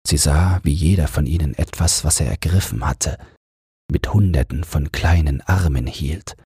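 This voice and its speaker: A male audiobook narrator tells a bedtime fairy tale calmly. His voice is low and heavily breathy, captured close in a dry room. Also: moderate pace, no room sound.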